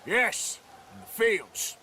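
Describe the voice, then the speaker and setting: Casting: sardonic American frontier outlaw for a game, weary and laconic, sharp edge, widely varied pitch. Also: male voice, wildly swooping pitch, slow, slight background noise, heavily acted. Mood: exasperated. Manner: laconic, dry, folksy. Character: gruff, weary, sardonic Old West male outlaw. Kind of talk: video game acting.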